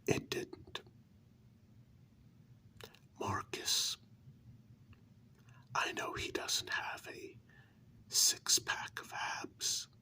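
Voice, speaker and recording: A performer whispering an ASMR role-play as a tender, candid human lover of a vampire, intimate and halting, in a reassuring way. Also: sharp tone, full character voice.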